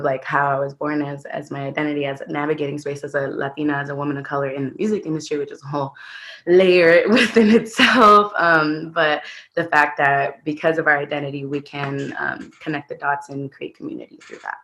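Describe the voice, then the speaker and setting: A woman's voice: sits low, steady tone, a little fast, fairly bright tone. A woman from Los Angeles answering on a panel, earnest and peppering her speech with "like". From Los Angeles, USA. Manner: earnest, frequent "like".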